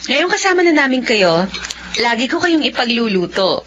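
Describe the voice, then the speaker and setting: A woman playing a gentle young doctor who is a devoted daughter in a radio drama. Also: on the high side, very animated, moderate pace. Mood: affectionate. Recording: dull lo-fi audio, faint hiss.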